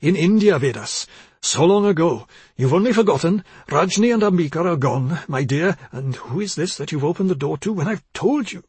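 Man playing a husky, stern, bitter old father. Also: on the high side, expressive, normal speed, a little mellow, dry recording, voice pushed up. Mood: firm, weary. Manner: commanding, accusatory. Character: stern, bitter elderly father, proud and unforgiving.

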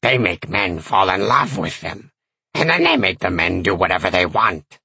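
A man voicing a glum, wary imp demon sidekick with a low, raspy voice and a hesitant, deferential manner, for an audiobook. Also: huge pitch swings, moderate pace, bright, forceful tone, dry recording, heavily acted. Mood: wary, distasteful.

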